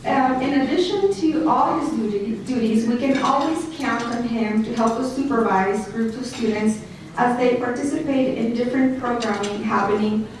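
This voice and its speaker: A middle-aged woman with a Mexican Spanish accent and a slightly high voice gives a speech at a banquet, reading prepared remarks and breaking off for joking asides. She is heard from well back in a big hall, with strong echo and faint room noise behind her. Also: moderate pace. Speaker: school district director introducing an award nominee. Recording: echoey hall recorded from far off.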